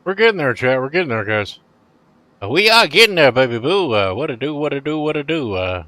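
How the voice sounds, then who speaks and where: A middle-aged man streaming casually, chatting with viewers in a laid-back, rambling way and letting phrases trail off quietly. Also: medium pitch, very animated, fairly quick, no echo. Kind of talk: casual live-stream chat.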